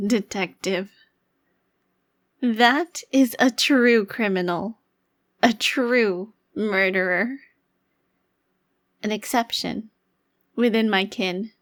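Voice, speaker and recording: A woman performs a centuries-old vampire crime lord who still looks like a child. The voice is fairly high and the delivery slow, formal and deliberate. It carries a haughty authority in the style of an ASMR roleplay monologue.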